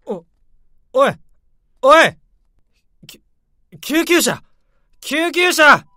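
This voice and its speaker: A caring but commanding office boss, his voice high and lively, swinging wildly as he barks orders. The tone is bright and sharp, and it sits close and dry in a radio drama. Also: extremely slow, dry recording, heavily acted. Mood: panicked. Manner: urgent, commanding, shouting for help. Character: alarmed male boss, middle-aged, bossy but caring.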